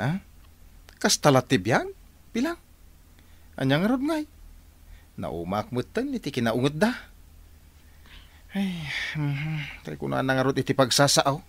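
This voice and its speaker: A man's voice: mid-range voice, a bit bright, exaggerated voice. A man acting a put-upon, plaintive working man in an Ilocano radio drama, with an Ilocano accent and a musing manner.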